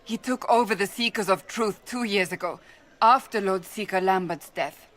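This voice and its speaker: Casting: actress as a no-nonsense agent, firm and direct, crisp bright tone. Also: female voice, mid-range voice, moderate pace, close to an everyday voice.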